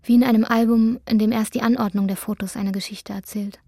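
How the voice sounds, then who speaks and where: A woman narrating a literary radio drama in a measured, introspective way. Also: a little high, steady tone, warm tone, no echo, studio quality.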